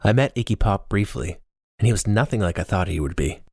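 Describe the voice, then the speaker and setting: A man narrates a novel in the first person with a low-pitched voice. The sound is dry, with no room echo, as in an audiobook reading. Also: expressive, fairly quick, creaky, a little mellow.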